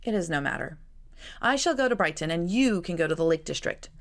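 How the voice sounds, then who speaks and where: A woman's voice: lowish voice, lively intonation, fairly quick. A woman voicing a headstrong, protective young sister in a Regency storybook, speaking in a firm, formal, insistent way. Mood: firm, resolved.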